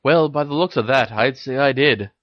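A man's voice: medium pitch, moderate pace, no echo, highs cut off, voice pushed up, lightly acted. A man voicing an awkward, earnest teenage boy wizard in a story reading, tentative in delivery. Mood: puzzled. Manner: tentative, slightly flustered.

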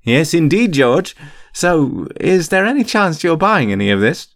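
A man voicing cheeky, quick-witted schoolboy pranksters in a story, with a glib, cheerful, easygoing manner. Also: fairly high pitch, very animated, voice pushed up. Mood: breezy, conversational.

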